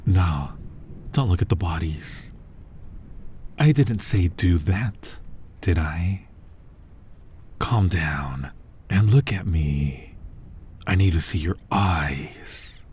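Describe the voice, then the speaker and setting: A man acting as a menacing, centuries-old vampire hunter in an intimate ASMR roleplay, husky and breathy, commanding and often close to a whisper. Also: low-pitched, animated, a little mellow, heavily acted. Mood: wary, commanding. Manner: commanding, interrogating, taunting with slow pauses. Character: centuries-old male vampire hunter, menacing, dominant, suspicious, inhumanly restrained. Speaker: voice actor in an audio roleplay.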